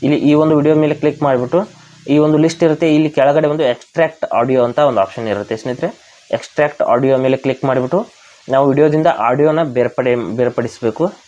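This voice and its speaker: A man's voice: medium pitch, fast, a little mellow. A man from Karnataka with an Indian accent, hosting a step-by-step app tutorial. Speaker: tech tutorial host. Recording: dull, lo-fi voice recording.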